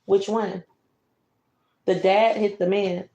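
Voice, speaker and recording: A woman's voice: mid-range voice, steady tone, slow, dark tone, reverberant, muffled recording, a little hiss or hum. A woman reading a picture book aloud to a child, breaking off for casual, conversational asides and explanations. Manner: conversational, explains pictures and comments to a young listener. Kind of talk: bedtime story read-aloud with casual asides.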